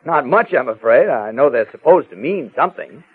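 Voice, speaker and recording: Lively, level-headed reporter, husky old-radio sound. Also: male voice, mid-range voice. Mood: curious, probing. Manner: brisk, probing, reassuring. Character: level-headed, inquisitive male newspaper reporter, calmly insistent. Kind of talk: 1940s-50s radio drama acting. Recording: muffled, phone-like vintage radio recording.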